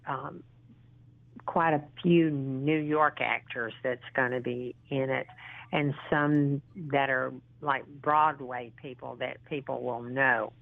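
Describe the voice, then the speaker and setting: Low-voiced woman in her sixties on a phone line. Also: steady tone, vocal fry, no room sound. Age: 60s. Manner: halting, with frequent ums and restarts; earnest and encouraging. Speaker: interview guest, model and actress. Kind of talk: casual phone interview.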